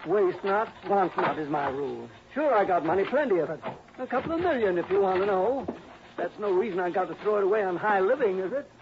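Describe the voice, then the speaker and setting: Crabby suspicious rich old man, high voice, blustery, old radio show, muffled and noisy. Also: expressive, a little fast. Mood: crabby, insistent. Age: older. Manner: irritable, blustering, hectoring. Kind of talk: 1960s radio drama acting.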